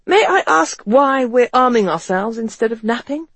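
A man voicing a wry, emphatic upper-class English gentleman in an audiobook of a period novel. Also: very high-pitched, animated, moderate pace, dry recording, voice pushed up, full character voice. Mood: wry, earnest. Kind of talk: audiobook character dialogue.

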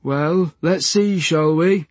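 A man voicing a calm, patronizing male hospital carer who speaks slowly and soothingly. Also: fairly high pitch, no room sound, voice pushed up.

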